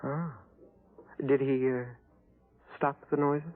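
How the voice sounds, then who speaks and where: An actor playing a sinister android posing as a loving husband, suave and deliberate, in 1950s radio drama style. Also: male voice, mid-range voice, little pitch movement, no echo, natural voice. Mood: guarded menace. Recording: tinny, boxed-in 1950s radio recording.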